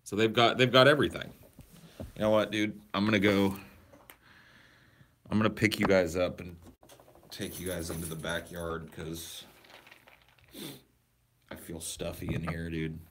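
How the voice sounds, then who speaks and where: Young guy streaming casually, very low voice, crude rambling, faint noise behind. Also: flat delivery, unhurried, long pauses. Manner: rambling, crude, answers chat questions aloud. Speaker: livestream host. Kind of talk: casual livestream chat with viewers. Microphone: portable camera or phone carried around.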